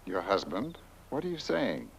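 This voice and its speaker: An actor playing a suave, scheming, lecherous lawyer with a pompous, courtly manner in a horror comedy film. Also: male voice, on the low side, wildly swooping pitch, moderate pace, gravelly, slight background noise, heavily acted. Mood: incredulous.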